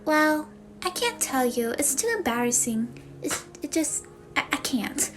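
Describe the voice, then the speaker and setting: A woman's voice: high-pitched, sing-song, unhurried, a bit bright, faint music behind, full character voice. A woman playing a self-conscious young woman in a confessional monologue, rambling in a bashful, hesitant way. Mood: awkward, embarrassed. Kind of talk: confessional monologue for a voice acting demo reel.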